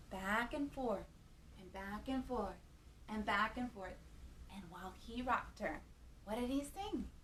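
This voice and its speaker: Woman, high-pitched, children's storytime host, animated picture-book reading, playful questions to little listeners, faint background noise. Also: distant mic, rough recording. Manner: playful, asks young listeners questions, exclaims.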